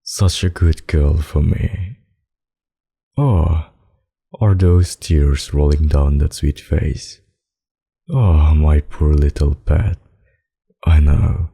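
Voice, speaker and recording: Guy doing a slow husky ancient vampire master, menacing and possessive, condescending asmr roleplay. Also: extremely low pitch, warm tone, exaggerated voice. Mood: gloating, seductive. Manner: slow, dominant, silky, condescending endearments. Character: centuries-old possessive, sadistic vampire master, smooth and menacing. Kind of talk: ASMR roleplay, intimate scripted monologue.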